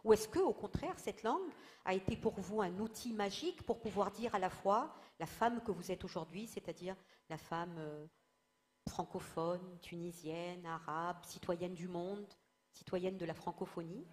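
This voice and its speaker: A woman's voice: lowish voice, normal speed, a little mellow. Woman moderating a panel, long careful questions, reverby hall. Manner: articulate, asks long questions. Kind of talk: panel discussion.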